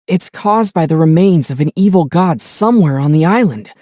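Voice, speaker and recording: A man voicing a calm, gentle young traveler hero in a video game, speaking thoughtfully with mild teasing. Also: on the high side, no room sound, clean studio sound, natural voice. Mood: calm, explanatory. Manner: measured, thoughtful, mildly teasing. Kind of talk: video game character voice lines.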